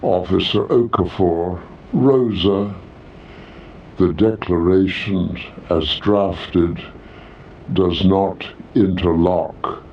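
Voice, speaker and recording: A man voicing a male alien protocol officer in a sci-fi audiobook, measured and formal, with deliberate procedural phrasing. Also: low-pitched, distant mic, dull audio, a little hiss or hum, heavily acted.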